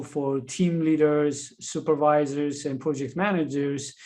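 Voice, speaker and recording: Ethiopian-accented man, fairly high voice. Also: mellow. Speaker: guest, leadership coach and author. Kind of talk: interview answers.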